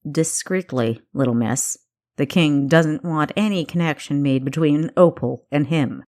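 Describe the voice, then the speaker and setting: Casting: a woman playing a stern male spymaster for a fantasy audiobook, low-voiced, clipped and commanding. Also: unhurried, a little mellow. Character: gruff older male spymaster, stern and teasing.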